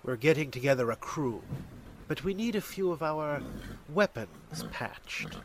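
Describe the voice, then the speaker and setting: A man's voice: fairly high pitch, lively intonation, measured pace, background noise. A man playing a grave, courteous villager in an audio drama, speaking in a formal, old-fashioned way.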